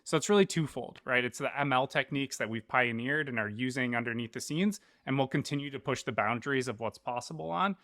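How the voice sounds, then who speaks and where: A man with a medium-pitched voice speaks as a startup co-founder being interviewed. He explains his points in a dry recording with hardly any room echo. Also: a little fast, hardly any pauses, fairly bright tone. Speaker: tech company co-founder, interview guest.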